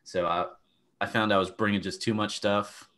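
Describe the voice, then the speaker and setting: A man's voice: on the low side, little pitch movement, normal speed, a bit bright. A young man giving practical fishing advice in a casual panel chat over a video call. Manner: plain, practical explaining. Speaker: fishing coach.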